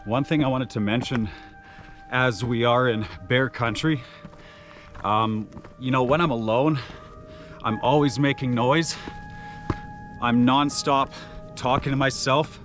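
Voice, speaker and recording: Loud-voiced man talking outdoors on a trail. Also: mid-range voice, slow, long pauses. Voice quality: naturally loud voice. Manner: relaxed, many filler uhs. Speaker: trail running vlogger. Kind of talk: casual on-trail commentary. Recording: music playing behind the voice.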